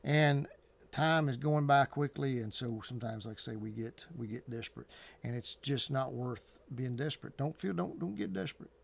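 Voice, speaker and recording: A man with a mid-pitched, gravelly voice that often dips into fry speaks as if chatting to his viewers. He gives advice in an earnest, colloquial way, halting and repeating himself as he rambles along. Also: a little hiss or hum. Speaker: host of an advice channel.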